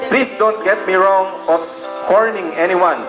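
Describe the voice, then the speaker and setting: Loud instrumental music plays behind a high-pitched Filipino man in an echoey room. He reads a letter aloud with a Filipino accent, in a playful and slightly stumbling manner, dropping in joking asides as a native Tagalog speaker would among friends. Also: a little slow. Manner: playful, stumbling, breaks into chuckles and side comments. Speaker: reader of a friend's message.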